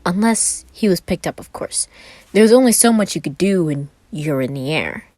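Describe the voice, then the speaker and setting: A woman's voice: fairly low pitch, animated, moderate pace, warm tone, no echo. A woman who hosts and reads fan fiction, moving between casual chat and story narration. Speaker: podfic reader and channel host.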